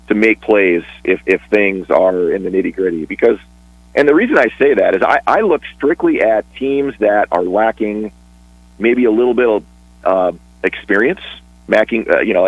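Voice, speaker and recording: A man talking casually on a radio sports show, chatty and a bit rambling, with restarts and quick laughs. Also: fairly low pitch, slightly rough, no echo, rough recording. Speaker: sports broadcaster guest.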